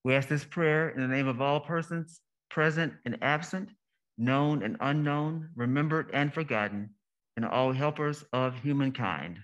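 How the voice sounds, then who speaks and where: A man leading a congregation in solemn prayer as a minister, with deliberate, measured phrasing and pauses. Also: mid-range voice, a bit bright, muffled recording.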